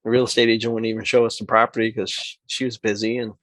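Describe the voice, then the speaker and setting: A man's voice: fairly low pitch, flat delivery, quick pace, fairly bright tone, no echo. A man who makes mead, guest on a podcast, talking enthusiastically in long run-on stretches. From New Hampshire. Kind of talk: casual podcast interview.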